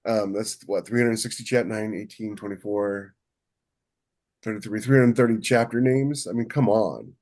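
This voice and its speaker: A man's voice: on the low side, fairly even intonation, moderate pace, darkish tone. A man co-hosting a podcast, talking casually and in a rambling way about his craft as a writer. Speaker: podcast co-host and novelist.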